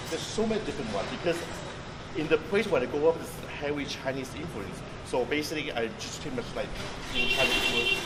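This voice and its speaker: A man talks through a market tour in a halting way, circling back on his words. His voice sits at an ordinary pitch but comes from a distance. The sound is echoey and roomy, with clear street and traffic noise behind him. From Vietnam. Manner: halting, many restarts and fillers, thinks aloud while explaining. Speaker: chef and tour guide. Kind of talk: informal guided-tour talk. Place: outdoors on a busy street near a market.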